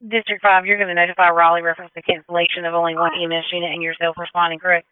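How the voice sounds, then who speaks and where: Brisk, even female dispatcher on tinny radio. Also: lowish voice, clean tone, dry recording. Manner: businesslike, reads back information. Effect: two-way radio transmission. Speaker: emergency dispatcher. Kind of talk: emergency radio traffic.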